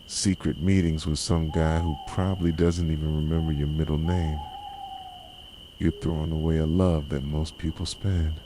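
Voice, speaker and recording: A male actor performs a young boyfriend with a very low, soft voice, obsessive and betrayed. He pleads with dramatic intensity in an ASMR roleplay. Loud night noise of crickets and owls sits behind him. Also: creaky. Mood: bitter, wounded. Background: night ambience of crickets, owls and insects.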